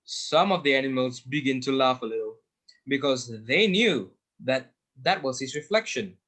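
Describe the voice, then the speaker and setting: Young male narrator, mid-pitched, reading a scripted children's story. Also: animated, normal speed, a bit bright. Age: teenager or young adult student. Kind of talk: scripted storytelling, readers theater.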